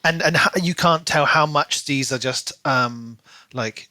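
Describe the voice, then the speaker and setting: Bright, higher-voiced man chatting animatedly. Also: measured pace, dry recording. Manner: animated, acts out imagined quotes mockingly. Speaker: podcast co-host. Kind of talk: casual book discussion.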